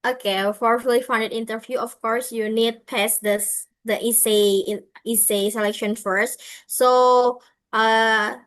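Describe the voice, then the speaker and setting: A woman explaining things informally as an organizer in an online session, in halting non-native English. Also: on the high side, fairly even intonation, a bit bright. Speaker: organizer answering questions.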